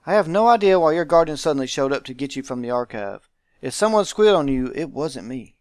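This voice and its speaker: A man's voice: on the high side, animated, quick pace, smooth, no echo, studio quality, natural voice. A man voicing a grumpy, sarcastic fat clerk from a visual novel, dismissive and easily bothered. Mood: irritated, sarcastic. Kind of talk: visual novel character read-aloud.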